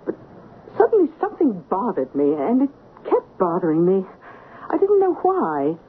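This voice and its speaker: An earnest, sharp-witted woman in an old radio play. Her pitch is animated and moves widely. The sound is thin and narrow, with faint noise. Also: moderate pace. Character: sharp, earnest female household assistant, resolute. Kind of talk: 1970s radio drama acting. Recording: thin, faintly noisy 1970s radio broadcast.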